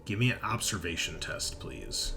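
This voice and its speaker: Low-voiced male game master, suspenseful phrasing. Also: moderate pace, noisy background. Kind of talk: improvised narration of a tabletop horror game.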